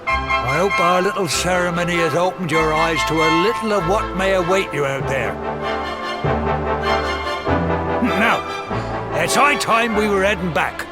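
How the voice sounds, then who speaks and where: An actor playing a kindly, proud elderly village elder in a video game, with a folksy, avuncular manner. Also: male voice, high voice, normal speed, over loud music, natural voice. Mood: gentle, encouraging. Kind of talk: video game cutscene acting.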